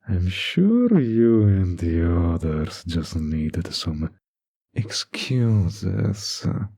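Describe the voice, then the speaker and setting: A man acts out a silky villain in a flirtatious audio roleplay. His voice is deep and dark with a gravelly creak, recorded dry and close. He draws out his words with teasing, manipulative politeness. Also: no echo, full character voice. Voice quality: low, breathy, gravelly.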